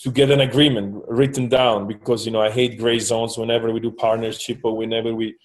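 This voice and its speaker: A man's voice: mid-range voice, flat delivery, brisk, rough recording. A man from Switzerland, a startup co-founder, talking through a partnership in a business meeting, hesitant with many fillers and restarts.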